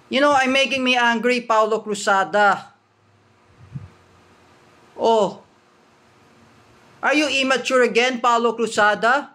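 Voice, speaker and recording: High-voiced Filipino man ranting angrily. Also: bright, forceful tone. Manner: accusatory, repetitive, halting English with restarts. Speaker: vlogger. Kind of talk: angry rant to camera.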